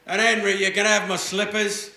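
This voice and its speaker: A man's voice: sits high, flat delivery, moderate pace, bright, forceful tone. A man playing a cantankerous, mischievous old grandfather in a comic radio play. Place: theatre stage before an audience. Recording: roomy stage with noticeable background noise.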